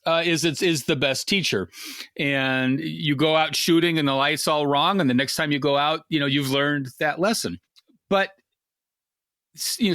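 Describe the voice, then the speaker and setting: A man's voice: mid-range voice, a bit bright, dry recording, studio quality. A man hosting an interview podcast, asking long thoughtful questions with frequent restarts. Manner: thoughtful, many restarts and fillers. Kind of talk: casual interview talk.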